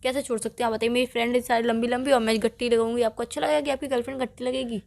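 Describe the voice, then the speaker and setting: Woman acting as fast-talking, cute stubborn girlfriend. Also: fairly high pitch, steady tone, creaky, darkish tone. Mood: pleading, stubborn. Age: young adult. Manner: pleading, protesting. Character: cute, devoted but stubborn young girlfriend. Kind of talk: staged phone-call skit, conversational.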